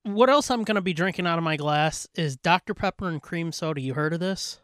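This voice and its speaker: A man's voice: on the high side, fairly quick, a bit bright, clean tone, dry recording, studio quality. A man co-hosting a podcast in casual comic banter, crude, profane and joking. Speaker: podcast co-host.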